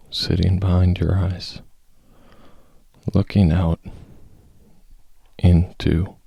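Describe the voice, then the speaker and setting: A man leading a guided meditation, speaking in a calm, measured, gently instructive manner with long pauses. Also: low voice, creaky, breathy edge, dry recording.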